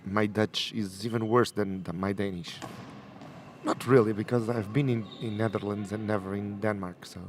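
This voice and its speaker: A man's voice: lowish voice, steady tone, moderate pace, darkish tone, a little hiss or hum. A man whose first language is not English, chatting as co-commentator at a match, casual and self-deprecating with frequent restarts. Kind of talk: casual sports commentary chat.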